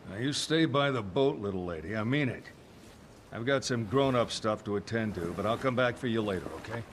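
An actor with an American accent playing a gruff, protective middle-aged father on a rescue in a video game. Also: fairly low pitch, a little fast, slight background noise, close to an everyday voice. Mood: firm, protective. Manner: gruff, paternal, plain-spoken. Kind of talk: video game cutscene acting. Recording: game audio with clear background ambience.

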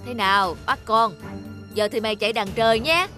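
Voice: medium pitch, fairly quick, sharp tone, soft background music, lightly acted. A performer voicing a bullying, boastful villain of filthy water in a cartoon, menacing and taunting. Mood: gloating.

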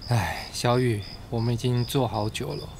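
A man's voice: mid-range voice, steady tone, normal speed, background noise, close to an everyday voice. A man acting a patient, weary middle-aged teacher in a radio drama. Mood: patient, tired.

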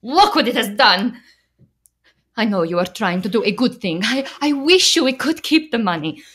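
A woman's voice: on the high side, expressive, normal speed, a bit bright. A stern immigrant wife in a radio drama,. She is frightened yet resolute, firm and reproachful as she insists on what is right. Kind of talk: radio play acting.

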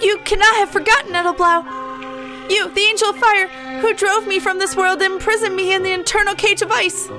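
Girl doing a grandiose anime bird boy for a visual novel, super high, theatrical doom talk, music behind. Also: fairly even intonation, fairly quick. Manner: grandiose, theatrical, urgent declaiming. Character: melodramatic male bird schoolboy with apocalyptic delusions.

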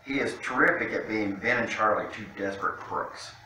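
Middle-aged male storytime reader, bright forceful tone, recorded well away from the mic. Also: fairly low pitch, normal speed. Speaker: guest storytime reader, city official. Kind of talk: reading a picture book aloud to children. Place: indoor room, seated by a fireplace mantel. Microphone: distant camera microphone. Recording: very echoey room, distant mic, faint noise.

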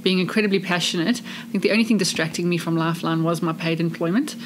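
A woman's voice: on the low side, level tone, fast talker, noisy background. A woman from South Africa with an English-speaking South African accent, a charity chief executive telling her story earnestly in an interview. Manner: warm, earnest, expansive storytelling. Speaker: charity CEO, guest. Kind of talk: casual interview chat.

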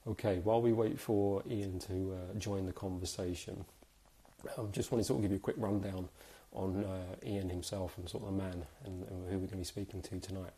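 A man in his thirties with a very low voice, a bit hoarse from a cold, hosting a live stream from a small room. He talks chattily and keeps spirits up, with plenty of ums along the way. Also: steady tone, a little fast, warm tone. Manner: chatty, upbeat, many fillers. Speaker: host.

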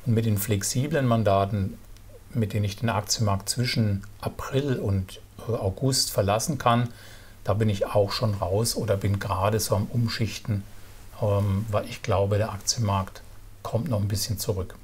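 A middle-aged man giving casual stock market commentary to camera, relaxed and halting, with many fillers and restarts. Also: lowish voice, husky. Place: indoor studio with virtual backdrop.

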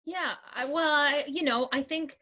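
A woman in her thirties from Toronto, an actress talking in a casual interview over a video call, animated and full of fillers. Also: sits high, unhurried. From Toronto, Canada. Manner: animated, many fillers and restarts. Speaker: actress and producer, guest. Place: home room, indoors, green wall.

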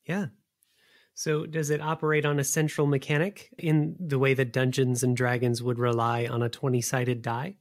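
A man's voice: medium pitch, little pitch movement, moderate pace, no echo. A man hosting a podcast, asking questions in a conversational interview style.

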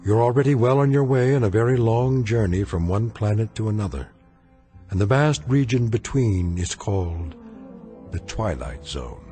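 An actor as the grave, all-knowing narrator of an eerie radio anthology, measured and formal. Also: male voice, fairly low pitch, animated, music in the background, close to an everyday voice. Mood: grave, portentous. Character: grave omniscient male narrator of eerie space tales. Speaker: narrator, host.

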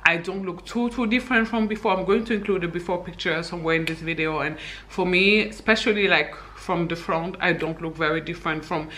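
Bright-voiced chatty young woman from Senegal. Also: fairly low pitch, fairly quick, slight background noise. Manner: chatty, frequent fillers and laughs, animated hand gestures. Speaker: vlogger. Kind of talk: candid personal vlog. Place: bright indoor living room.

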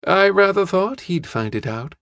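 A man's voice: high voice, expressive, normal speed, gravelly, fairly bright tone, dry recording, clean studio sound, voice pushed up, exaggerated voice. A man voicing a shrewd, kindly old lady in an audiobook, plain-spoken and knowing.

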